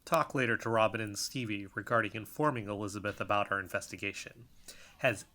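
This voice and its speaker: A man's voice: lowish voice, fairly quick, sharp tone. An actor in an audio drama playing an earnest, suspicious young male investigator with an intense, self-serious manner. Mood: self-satisfied. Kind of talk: audio drama, dictating covert voice notes.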